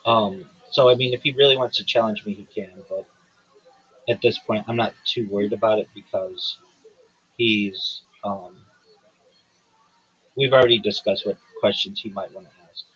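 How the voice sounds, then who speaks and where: Guy hosting a livestream, kinda husky voice, measured formal delivery, dull lo-fi sound. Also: lowish voice, steady tone, a bit bright. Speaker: livestream host. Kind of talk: reading a prepared statement, then casual chat.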